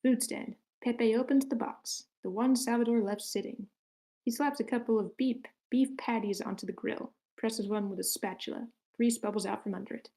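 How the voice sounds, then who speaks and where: A woman's voice: a little high, normal speed, creaky, warm tone, poor audio. A woman reading stage directions as the narrator of a screenplay table read.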